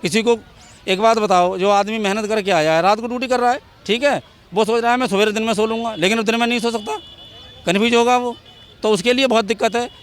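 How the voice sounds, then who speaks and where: A man's voice: high-pitched, fairly even intonation, fast talker, slight background noise. A man giving his opinion in a street interview outdoors, speaking emphatically and repeating his points. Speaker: member of the public giving opinion.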